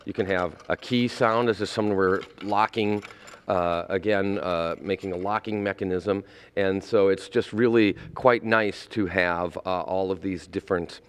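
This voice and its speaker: A man's voice: deep voice, steady tone, a little slow, clear, resonant tone, big-room sound. A man explaining things as a theater director in an interview, wordy and somewhat hesitant.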